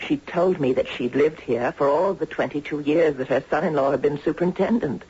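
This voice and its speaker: A woman playing a gentle, courteous, wistful elderly lady in a 1970s radio drama. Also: low-pitched, fairly quick, slightly rough. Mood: obliging. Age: older. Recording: dull, slightly noisy 1970s radio recording.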